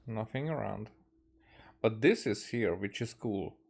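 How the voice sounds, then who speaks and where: Low-pitched, slow-paced Hungarian man. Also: creaky, husky. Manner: musing, thinking aloud in short fragments. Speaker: game streamer. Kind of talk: casual gameplay commentary.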